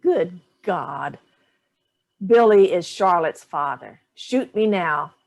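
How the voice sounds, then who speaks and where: A woman's voice: lowish voice, expressive, takes it slowly, gravelly, darkish tone, heavily acted. Actress doing an older mom in a play reading, dry and sardonic, keeps her guard up, clipped lines. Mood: exasperated disbelief. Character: guarded, dryly sardonic older mother, hostess. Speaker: actor in a staged reading.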